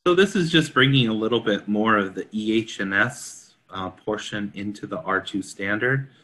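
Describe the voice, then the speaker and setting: A man's voice: on the low side, moderate pace, sharp tone. A man working as a consultant, explaining a technical standard informally and in plain terms in a discussion. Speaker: consultant panelist.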